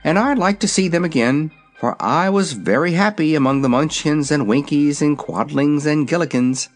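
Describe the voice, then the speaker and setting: A man's voice: a little high, moderate pace, faint music behind, voice pushed up, close to an everyday voice. A man voicing a kindly, thoughtful little wizard in a fairy-tale story. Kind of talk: storybook character voice.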